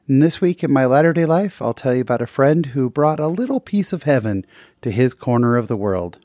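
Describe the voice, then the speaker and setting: A man's voice: mid-range voice, a little mellow, no echo, studio quality. A man hosting a podcast, introducing the episode with enthusiastic, effusive praise and then interviewing a guest. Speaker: podcast host.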